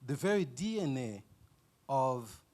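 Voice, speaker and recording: A man's voice: mid-range voice, sing-song, slow, darkish tone. A man who works at a research institute, asking a conference panel a pointed question. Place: conference hall.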